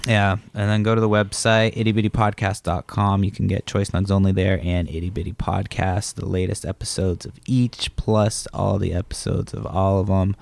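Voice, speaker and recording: A man's voice: low voice, flat delivery, normal speed, vocal fry, hardly any pauses, a little mellow, no echo. A man hosting his podcast in a casual, rambling, profane chat. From born in San Francisco, raised in Massachusetts. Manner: rambling, profane, laid-back. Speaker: podcast host.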